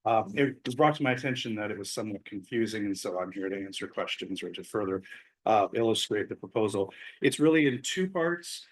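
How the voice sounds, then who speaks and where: A man's voice: fairly low pitch, animated, brisk, poor audio. A man speaking as a community group chair during public comment at a city commission meeting, explaining a proposal with frequent fillers. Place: city council chamber.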